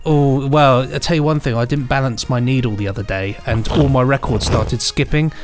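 A man's voice: medium pitch, moderate pace, faint music behind. A British man co-hosting a podcast in a casual, chatty, playful and rambling way. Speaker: podcast co-host.

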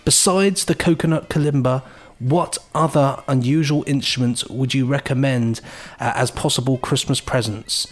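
Male podcast co-host: casual banter, mid-pitched, enthusiastic, wandering and jokey. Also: fairly even intonation, normal speed, few pauses.

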